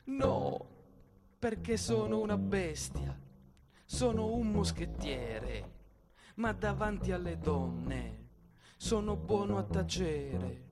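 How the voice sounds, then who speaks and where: A man acts out a young musketeer baron in a stage play, blunt and lovestruck. He speaks emphatically yet haltingly, slow and earnest, over prominent music. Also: high voice, sing-song, pitched above the performer's own voice, heavily acted. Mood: flustered. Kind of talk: stage monologue theatre.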